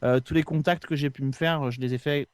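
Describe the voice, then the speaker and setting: A man with a fairly high voice speaks very quickly in a casual interview. He explains at length in a conversational way, and the recording is close and dry. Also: fairly even intonation, darkish tone, clear, resonant tone, dry recording. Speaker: podcast co-host being interviewed.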